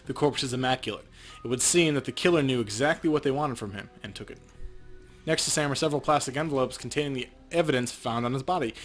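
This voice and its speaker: A man co-hosting a let's play, reading game text like a narrator with casual asides. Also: medium pitch.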